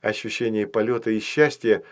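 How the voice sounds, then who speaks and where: A man's voice: lowish voice, fairly even intonation, normal speed. A man reading scripted narration as the storyteller of a children's fairy tale.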